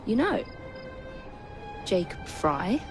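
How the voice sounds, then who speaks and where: A woman's voice: mid-range voice, very animated, very slow. An actress playing a sensible, sardonic young Englishwoman, an assassin in a Victorian video game, speaking drily and pointedly. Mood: resolute. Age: young adult. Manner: dry, pointed. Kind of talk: dramatic video game acting. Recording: music behind the voice.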